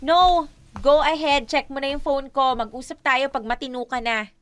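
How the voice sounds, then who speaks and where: A woman's voice: high voice, fairly even intonation, moderate pace, over music, natural voice. A woman acting a confident, exasperated but patient young girlfriend in a Filipino radio drama, speaking emphatic Taglish. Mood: exasperated, defiant.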